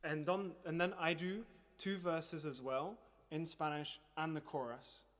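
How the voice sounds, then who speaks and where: A man's voice: a little high, fairly even intonation, a little slow, fairly bright tone. A man hosting a livestream living room concert, chatting casually and organising his fellow musicians. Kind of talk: casual chat between songs, addressing online viewers.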